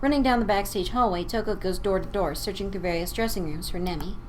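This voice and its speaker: A woman's voice: medium pitch, fast, a little hiss or hum. Congested woman narrating a tabletop game. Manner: chatty, loose narration with restarts. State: congested, losing her voice. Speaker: game master.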